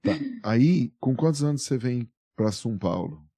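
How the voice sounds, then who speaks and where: Male podcast host, playful teaser, mellow tone. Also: medium pitch, expressive, moderate pace, no echo, clean studio sound. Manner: joking, teasing interviewer. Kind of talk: casual interview chat.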